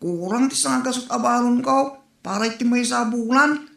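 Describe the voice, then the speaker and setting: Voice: medium pitch, moderate pace, creaky, a bit bright. A performer playing a pleading, cash-strapped schoolboy son in a comedy audio drama. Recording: echoey, roomy sound.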